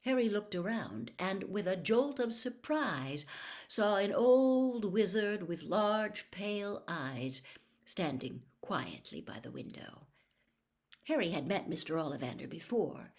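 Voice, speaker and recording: A woman narrating a children's fantasy novel in an expressive read-aloud style. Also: mid-range voice, slow. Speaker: audiobook narrator.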